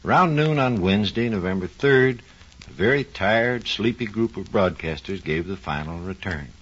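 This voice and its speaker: A man with a lowish, husky voice acts as the programme's expert guide. He speaks formally and deliberately from a script, in old radio storytelling style. The recording is dull. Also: lively intonation. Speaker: research engineer, programme guide.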